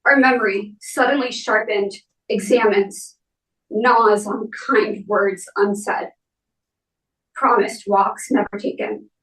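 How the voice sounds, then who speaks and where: A woman speaking at a memorial, reading and talking in measured, deliberate phrases with pauses. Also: mid-range voice, fairly even intonation, fairly bright tone. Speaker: speaker giving a eulogy for their parent. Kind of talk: memorial speech and poem reading. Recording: roomy echo, distant mic.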